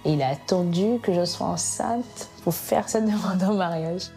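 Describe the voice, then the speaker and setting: Casting: young woman from Ivory Coast, expecting a baby, calm and relaxed, slightly lower voice, music playing behind. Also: moderate pace. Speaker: expectant mother. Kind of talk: casual chat and interview.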